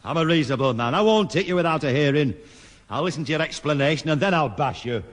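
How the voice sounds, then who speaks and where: A man's voice: a little high, expressive, fast, lightly acted. A man playing a blustering, hot-tempered neighbour who threatens and sneers, in 1960s British radio sitcom style. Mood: menacing sarcasm. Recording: noisy old radio broadcast, reverberant studio.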